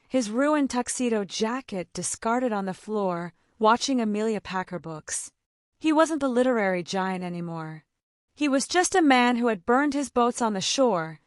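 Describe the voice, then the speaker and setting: A woman's voice: mid-range voice, no echo, studio quality. A woman reading a romance story as an audiobook narrator, in a dramatic scripted style.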